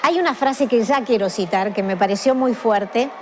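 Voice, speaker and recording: A woman's voice: fairly high pitch, moderate pace, a little mellow, a little hiss or hum. A woman, hosting a book program and interviewing an author in a museum. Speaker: host and interviewer. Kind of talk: casual chat and interview.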